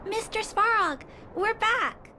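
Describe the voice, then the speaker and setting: A voice actress playing an earnest young girl who speaks gently but with resolve. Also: very high voice, expressive, slow pace, a little hiss or hum, full character voice. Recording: music behind.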